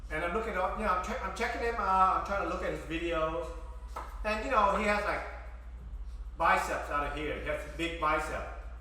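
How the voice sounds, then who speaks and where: A man's voice: high voice, fairly quick, pushed and bright, slight background noise. A middle-aged man whose first language is Vietnamese, chatting casually to his stream viewers while he cooks in his home kitchen. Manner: chatty, joking asides to chat. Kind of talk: casual live-stream chat while cooking. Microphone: fixed camera at a distance. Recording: echoey kitchen, mic at a distance.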